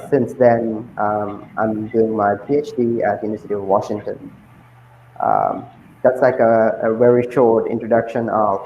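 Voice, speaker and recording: A young man from Sri Lanka answering interview questions as a guest, pausing often with ums and uhs. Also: on the low side, echoey room, lo-fi sound, slight background noise. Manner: hesitant, many ums and uhs.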